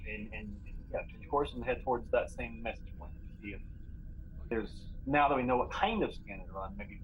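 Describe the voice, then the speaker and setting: A man playing a tabletop roleplaying game, thinking aloud haltingly as he casually speculates and plans. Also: mid-range voice, lively intonation, highs cut off, a little hiss or hum.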